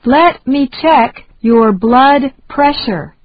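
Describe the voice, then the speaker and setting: A woman reading short phrases slowly and deliberately for learners to repeat. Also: sits high, lively intonation, dry recording, lo-fi sound. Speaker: English lesson reader.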